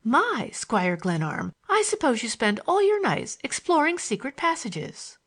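Storybook schoolgirl in her teens, played by a woman: high, animated, pert and romantic, teasing chatter. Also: measured pace, breathy edge, exaggerated voice. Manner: bright, teasing, girlish chatter. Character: playful, pert teenage schoolgirl, cheeky and romantic.

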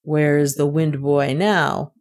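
A gruff village policeman from a storybook, performed by a woman reader. The voice drops low and weighs each thing with doubtful, fair-minded firmness. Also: fairly even intonation, darkish tone. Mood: questioning. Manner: gruff, deliberate.

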